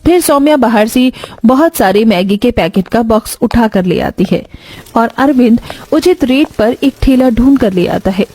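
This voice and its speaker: A woman narrates a scripted moral tale. Her voice sits a little high, with a soft, mellow tone and a close, dry sound. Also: animated, moderate pace, no room sound, poor audio.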